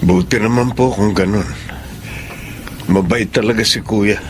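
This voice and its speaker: A man's voice: fairly low pitch, a little slow, lightly acted. A man playing an earnest, emotional grown son and grieving father in a radio drama, starting phrases hesitantly. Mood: wistful. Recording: noisy radio drama track.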